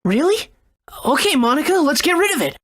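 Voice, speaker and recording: A woman's voice: sits high, big pitch swings, a little fast, a bit bright, no room sound, clean studio sound. A woman voicing an earnest, good-natured young boy adventurer in a video game. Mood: eager. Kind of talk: English game dub.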